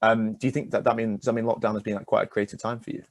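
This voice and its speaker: A man's voice: lowish voice, level tone, rapid-fire, no echo. A young man hosting a casual radio interview, asking wordy, tentative questions in an encouraging way.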